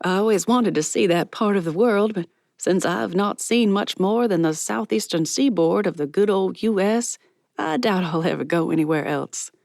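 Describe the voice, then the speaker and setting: A woman doing a soft-spoken older southern lady. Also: medium pitch, moderate pace, creaky, dry recording, studio quality, lightly acted. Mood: delighted.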